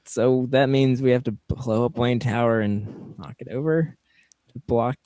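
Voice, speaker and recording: A man's voice: medium pitch, fairly even intonation, unhurried, mellow, no echo. Wry male podcast co-host, dry sound. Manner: wry, recaps plot with dry jokes. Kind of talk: casual chat.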